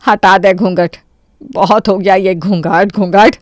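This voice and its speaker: A woman voicing a quick-tempered, berating Indian mother-in-law in a Hindi cartoon story. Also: mid-range voice, steady tone, no room sound, clean studio sound, close to an everyday voice. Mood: grudging. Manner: harsh, exclamatory, berating.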